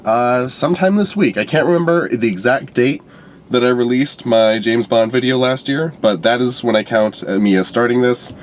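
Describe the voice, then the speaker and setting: A young man with a stuffed-up nose giving an unscripted, profane movie review rant, swinging between loud outbursts and low mutters. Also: moderate pace, a little hiss or hum.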